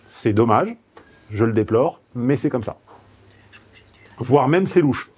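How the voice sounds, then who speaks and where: A man's voice: medium pitch, expressive, slow, darkish tone, husky. A man who grew up in southeastern France giving a paid seminar, frank and salty, telling personal anecdotes. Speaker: seminar speaker. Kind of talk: seminar talk.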